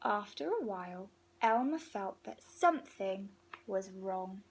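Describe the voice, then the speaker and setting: A woman reading a picture book aloud to young children in an expressive, unhurried way, pausing between phrases. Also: mid-range voice, a little hiss or hum.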